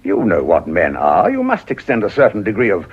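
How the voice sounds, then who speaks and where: A blustering, middle-aged English father of the upper class in a period radio drama. His voice is very husky and fairly low, with big swings of intonation. The recording is dull and lo-fi. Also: huge pitch swings, fairly quick, exaggerated voice. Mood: stern, pompous.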